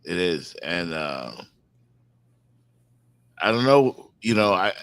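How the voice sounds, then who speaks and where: Deep-voiced man, casual morning show co-host. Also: very animated, slow, vocal fry, a bit bright, no echo. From Bahamas.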